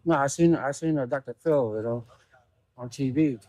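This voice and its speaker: A man's voice: mid-range voice, moderate pace, darkish tone, dry recording. A man from Thailand being questioned, answering briefly and informally.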